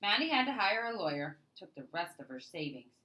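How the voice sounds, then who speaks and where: A woman's voice: on the low side, very animated, fairly quick, pushed and bright, low-quality recording. A woman reading a children's story aloud as narrator, leaning into the start of phrases and trailing off at their ends.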